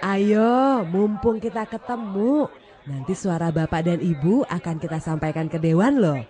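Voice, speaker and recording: A woman playing a genial forum co-host, reassuring and gently wry, with crowd noise behind. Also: fairly low pitch, measured pace, lightly acted. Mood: coaxing.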